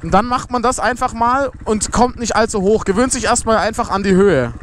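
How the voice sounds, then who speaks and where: A man's voice: sits high, fairly quick. A young man giving a casual how-to explanation while riding a motorcycle outdoors, recorded on a ride-along camera. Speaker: motovlogger. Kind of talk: riding tutorial commentary. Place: outdoors on a motorcycle on a closed road. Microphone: helmet or body camera while riding. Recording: faint motorcycle engine noise. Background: motorcycle engine.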